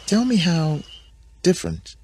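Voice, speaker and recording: A man's voice: on the high side, huge pitch swings, a little slow, darkish tone, slight background noise, pitched above the performer's own voice. A man voicing a seductive, daring woman in a coy, coaxing manner for a dramatic story. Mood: seductive, bold. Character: Eve, seductive, daring first woman, newly altered.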